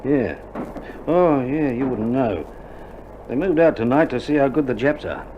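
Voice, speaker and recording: An actor playing a weary, dry-humoured Australian army doctor in a wartime radio drama, speaking in a colloquial, matter-of-fact way. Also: male voice, medium pitch, lively intonation, unhurried, natural voice. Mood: weary, wry. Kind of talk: recorded radio drama acting. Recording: dull vintage vinyl recording, loud noise.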